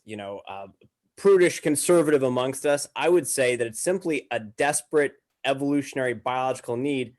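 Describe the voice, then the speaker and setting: A man talking casually as a podcast guest, halting and hedging, with dry humor. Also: medium pitch, darkish tone.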